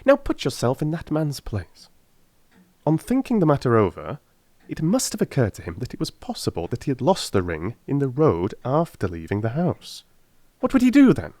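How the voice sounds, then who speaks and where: A man's voice: medium pitch, darkish tone, no echo. A man voicing a brilliant, confident detective in a dramatised audiobook, with theatrical, emphatic delivery. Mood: excited, emphatic. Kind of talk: dramatised audiobook character acting.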